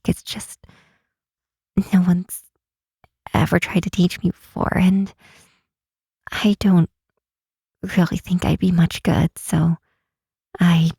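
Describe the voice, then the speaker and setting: A woman playing a timid, self-doubting young fantasy healer in an ASMR roleplay, speaking softly and haltingly in a breathy half-whisper. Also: lowish voice, no echo, clean studio sound, exaggerated voice. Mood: shy, unsure. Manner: halting, sighing, unsure delivery. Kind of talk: ASMR roleplay, intimate and hesitant. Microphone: close, dry microphone.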